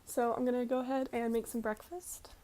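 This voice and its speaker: A woman's voice: on the high side, flat delivery, brisk, warm tone, soft background music. A woman in her early twenties vlogging in a casual, chatty way, rambling informally with conversational asides. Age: early 20s.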